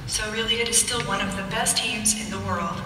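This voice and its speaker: A woman's voice: medium pitch, fairly even intonation, brisk. A woman speaking in a formal, prepared way, as in a public conversation on stage. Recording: distant, very echoey, noisy event playback.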